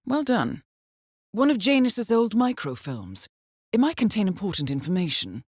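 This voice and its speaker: A woman playing a composed, dry-witted intelligence handler in a video game, speaking in a measured, formal, understated way as she briefs an assassin. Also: medium pitch, dry recording. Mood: poised, instructive. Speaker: mission handler. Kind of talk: scripted video game voice acting, mission briefing.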